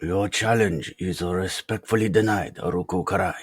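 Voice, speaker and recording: A man playing an old mutant rat ninja master and father in a motion comic fan dub, speaking formally with measured, solemn authority. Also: low-pitched, creaky, background noise. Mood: stern, commanding.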